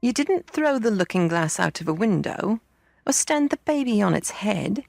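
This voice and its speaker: Woman doing a storybook village girl, cool and mocking, slow and drawling, gravelly edges. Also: medium pitch, very animated, darkish tone, no echo, studio quality. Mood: aloof. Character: cool, teasing, aloof young village girl.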